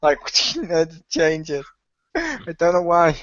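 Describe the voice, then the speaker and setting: Man, playful teaser, lively voice, dull lo-fi dry sound. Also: fairly high pitch, drawn-out words, no echo. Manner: joking, teasing, laughs a lot. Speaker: cast member of an audio drama. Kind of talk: casual chat.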